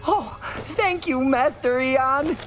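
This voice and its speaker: A man's voice: extremely high pitch, takes it slowly. A man voicing a meek, eager little helper creature in a cartoon video game, fussy and deferential. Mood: delighted. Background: music.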